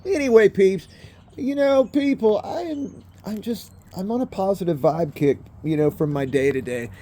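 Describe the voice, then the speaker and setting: A man in his early fifties talks loosely to the camera, drifting from topic to topic and dropping bro and people into nearly every sentence. His voice sits a little high for a man but has a dark, mellow color. A faint hiss runs under the recording. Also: animated, normal speed. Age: 50s. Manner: laid-back, swears often, keeps calling the viewers bro and people. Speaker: vlogger. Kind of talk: rambling casual monologue. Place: in a car.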